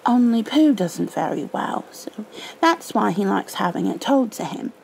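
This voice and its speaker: A woman's voice: mid-range voice, very animated, unhurried, vocal fry, a little mellow, slight background noise, exaggerated voice, low-quality recording. A woman voicing a small, earnest, curious boy in a storybook read-aloud.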